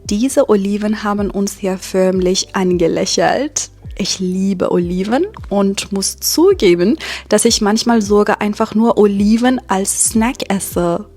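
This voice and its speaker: A woman from Iran, a German teacher, speaking slowly and clearly in a vlog for learners and often checking in with tag questions. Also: medium pitch, warm tone, soft background music. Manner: deliberate, with frequent tag questions.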